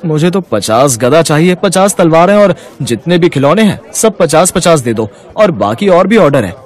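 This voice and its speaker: A man's voice: a little high, very animated, moderate pace. A man playing a kind young customer who firmly defends the poor, righteous in manner, in a moral-story cartoon. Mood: friendly.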